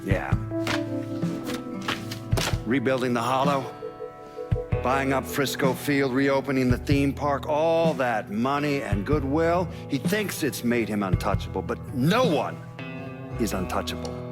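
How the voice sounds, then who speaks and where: An actor playing a determined, bitter older lawman giving a measured, pointed documentary interview in a game. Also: medium pitch, animated, natural voice. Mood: scornful, determined. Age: 60s. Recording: prominent guitar music behind. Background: guitar music.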